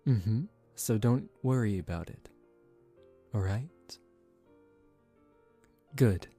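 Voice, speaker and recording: Man acting as a slow, soft-spoken vampire aristocrat. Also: fairly low pitch, slightly rough, faint music behind. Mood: gentle, reassuring. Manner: calm, measured, formal, gently commanding. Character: composed, aristocratic young male vampire, protective and secretive. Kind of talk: soft-spoken ASMR roleplay.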